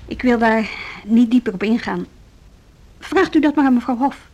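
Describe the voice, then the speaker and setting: A woman acting a principled, strong-willed school deputy in a radio drama. Her voice sits a little high, and she speaks Netherlands Dutch in a formal, articulate, pointed way. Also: moderate pace, a little mellow, highs cut off, lightly acted. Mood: pointed, resolute. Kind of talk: Dutch radio play dialogue.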